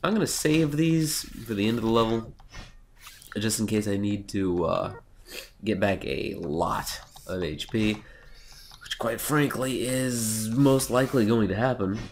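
A young man with a cold talks through a video game in a low, nasal, sniffly voice. He is sarcastic and mocks himself, swearing now and then. A faint hiss sits under the recording. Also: measured pace. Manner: sarcastic, self-mocking, swears. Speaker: let's play host. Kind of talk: casual gameplay commentary.

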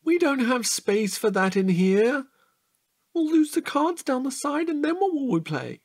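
A man's voice: very high voice, very animated, a little slow, full character voice. An actor playing a playful, easily bored, childishly eager man in an audio drama, indignant and teasing. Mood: sulky.

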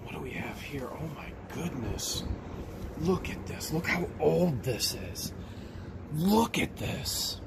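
A man's voice: a little high, expressive, slow pace, gravelly, noisy background. A man hosting a casual unboxing vlog, exclaiming and repeating himself as he talks directly to viewers. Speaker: vlog host.

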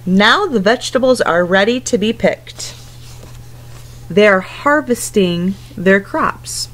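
A woman's voice: mid-range voice, lively intonation, takes it slowly, fairly bright tone, slight background noise, rough recording. A woman in her thirties reading a picture book aloud to young children, instructing in simple phrases and putting questions to them. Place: indoors at home, living room couch.

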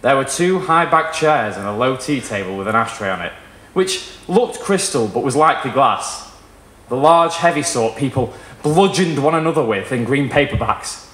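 A young man reading a literary short story aloud in a measured, dramatic way. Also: mid-range voice, lively intonation, fairly bright tone, roomy sound. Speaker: reader at a live short story event. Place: small indoor performance room with wood panelling.

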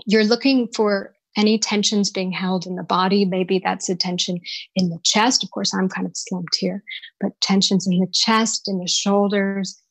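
A woman's voice: medium pitch, dry recording, low-quality recording. A woman voice and dialect coach answering interview questions on a live podcast, thoughtful and searching for words as she explains.

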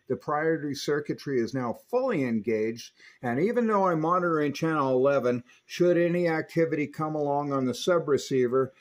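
A man giving a hands-on technical equipment review, explaining each detail methodically as a presenter. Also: fairly high pitch.

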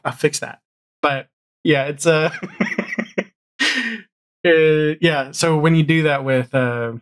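A man's voice: mid-range voice, creaky, a bit bright. A middle-aged man chatting casually as an interview guest, informal and jokey, often interrupting himself as he explains, on a video call from home. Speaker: podcast guest, audio drama showrunner. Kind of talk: casual interview chat about production.

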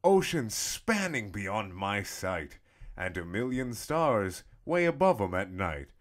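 A man's voice: on the low side, big pitch swings. Young guy doing a dead serious dramatic reading, voice swooping all over the place. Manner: theatrical, swooping emphasis with long pauses. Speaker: comedic reader. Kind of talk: mock-dramatic poetry reading.

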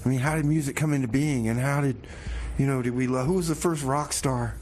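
A man's voice: mid-range voice, a little fast, a little mellow, faint music behind. A man hosting a casual comedic radio show in a folksy, rambling way, often repeating himself. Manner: folksy, rambling, hesitant repetitions.

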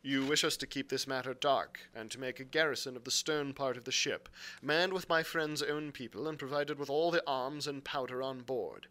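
A man voicing a shrewd, reasonable gentleman doctor in an adventure story, measured and probing. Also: medium pitch, fairly even intonation, pitched above the performer's own voice, natural voice.

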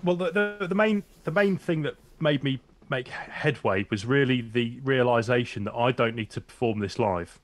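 A man in his thirties with a baritone voice, a music producer being interviewed over a video call, relaxed and self-deprecating. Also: moderate pace, few pauses. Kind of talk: casual interview chat. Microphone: studio microphone over video call.

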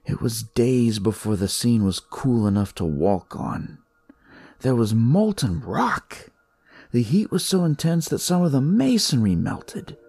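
Husky, gravelly noir detective, read by a man. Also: medium pitch, dark tone, no room sound, full character voice. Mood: wry, grim. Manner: wry, conversational, world-weary. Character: jaded, crude male police detective telling his story. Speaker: narrator. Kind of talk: noir first-person scripted narration.

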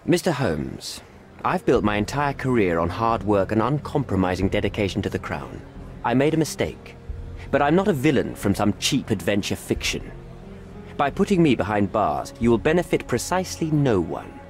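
Casting: middle-aged diplomat in a video game, cornered and evasive, highly animated intonation, placating and formal. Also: male voice, moderate pace, faint music behind. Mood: anxious, pleading. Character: cornered middle-aged British envoy, evasive, smooth-talking, pleading. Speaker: British envoy. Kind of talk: video game cutscene acting.

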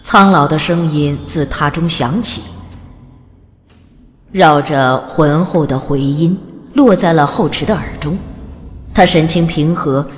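A woman's voice: deep voice, a little slow, long pauses. A woman reading scripted fantasy narration in a dramatic storytelling style, as an audiobook narrator. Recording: long reverb on the voice. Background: faint music.

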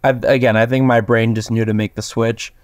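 Young male actor as interview guest; clean, resonant tenor lowered by sleep, hesitant casual talk, close dry sound. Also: level tone, a little fast, no echo. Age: young adult. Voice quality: tenor, lowered from just waking up. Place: plain small room indoors, video call.

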